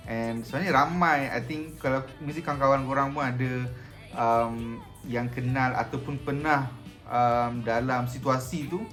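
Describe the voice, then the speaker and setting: A man with a Malaysian accent talks straight to his viewers in a loose, chatty way, slipping between two languages and stopping for ums now and then. His voice sits at a middle pitch, with soft music playing underneath. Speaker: video host. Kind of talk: casual vlog talk mixing Malay and English.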